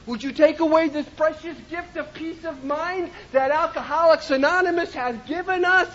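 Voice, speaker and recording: A man giving an impassioned personal testimony at a recovery meeting, often shouting for emphasis. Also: extremely high pitch, normal speed, muffled recording. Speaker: recovery speaker.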